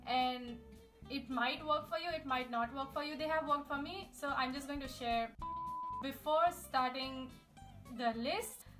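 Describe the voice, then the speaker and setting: A woman's voice: high-pitched, a bit bright. A young woman, chatting upbeat language-learning tips straight to her viewers. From India. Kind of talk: casual tips video to camera. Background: guitar music.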